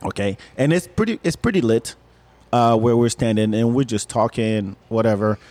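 A man's voice: medium pitch, moderate pace, darkish tone, no echo. A man from Senegal telling a ghost story in casual talk, rambling and repeating words as he acts out the dialogue. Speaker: guest storyteller.

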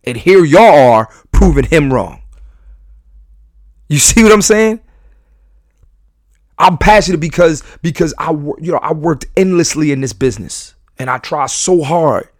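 Passionate rambling podcast host. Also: male voice, fairly high pitch, expressive, a little slow, pauses often, dry recording, clean studio sound. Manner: passionate, rambling with many restarts. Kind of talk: live podcast monologue to audience. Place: live venue.

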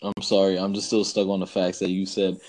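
A man co-hosting a podcast in casual banter, animated, teasing and slangy. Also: low-pitched, brisk. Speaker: podcast co-host.

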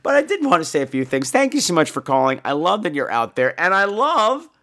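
A rather high-voiced American man with very swoopy, animated intonation. He sounds stuffed up with a cold and rambles along like a campy, bawdy podcast host. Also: a little fast. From United States. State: sick with a cold, phlegmy. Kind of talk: casual, rambling comic monologue.

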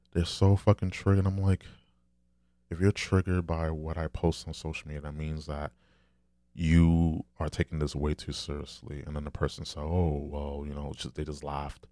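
Deep, flat-voiced man, dry sound. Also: moderate pace, no room sound. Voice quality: deep, low soft voice. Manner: candid, rambling, swears casually. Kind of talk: casual solo podcast monologue.

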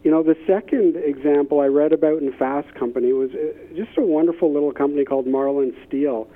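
A man's voice: a little high, fairly quick. Man on a thin phone line. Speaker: expert guest, business innovation consultant. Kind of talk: interview conversation with anecdotes.

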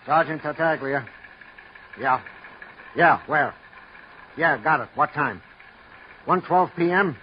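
Harried American police sergeant, slightly high voice, clipped and official, muffled old radio drama with faint noise. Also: male voice, slow, long pauses. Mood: brisk, official. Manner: clipped, businesslike phrases. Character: harried, plain-spoken male police sergeant working dispatch. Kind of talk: old-time radio crime drama acting. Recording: dull, noisy old radio recording.

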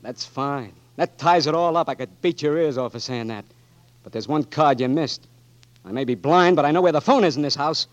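A man playing a gruff blinded young Marine. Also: on the high side, dry recording, muffled recording, close to an everyday voice. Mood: bitter. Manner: gruff and bitter, turning halting. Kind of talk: 1940s radio acting.

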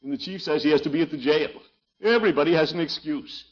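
A man's voice: fairly high pitch, fast, lightly acted. A man playing a pompous, grumbling middle-aged uncle in an American accent, with blustery, muttering delivery in 1950s radio comedy style. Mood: sheepish grumbling. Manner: blustery, muttering, hemming and hawing. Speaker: comic lead actor in radio sitcom. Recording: muffled vintage 1950s radio recording.

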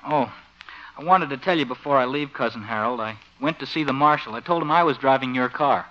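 An American actor playing a lazy, sheepish young freeloading cousin, earnest and self-pitying, in 1950s radio comedy style. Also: male voice, mid-range voice, brisk, fairly bright tone, natural voice. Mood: contrite. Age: young adult. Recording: dull old-time radio recording.

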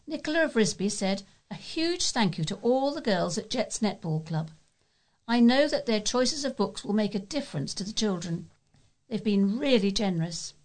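A woman's voice: medium pitch, lively intonation, moderate pace, a little mellow. A woman with a British accent reads local news articles aloud as a volunteer newsreader.

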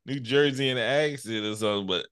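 Animated joking man, dry close mic. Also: mid-range voice, clean tone, dry recording. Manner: animated, jokey, quick reactions. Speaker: podcast host. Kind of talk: casual group chat.

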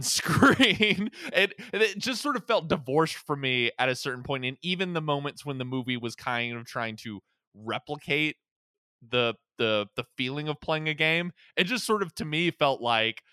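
Male podcast commentator, crisp clear voice, bright tone, close dry sound, casual, reflective. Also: medium pitch, no room sound. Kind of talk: casual film discussion.

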